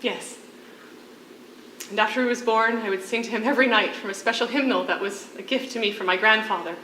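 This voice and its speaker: Echoey, bright-voiced defiant condemned mother. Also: on the high side, fairly quick. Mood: tender. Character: defiant condemned prisoner, devoted mother and choir singer. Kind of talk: theatrical stage play acting. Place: theatre stage, live performance. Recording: echoey stage recording with faint noise.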